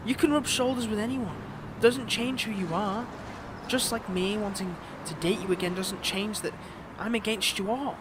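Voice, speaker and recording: A man's voice: high voice, noisy background. A man playing a smug, possessive fantasy villain in a roleplay audio drama, with a taunting, theatrical manner. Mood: wounded, coaxing.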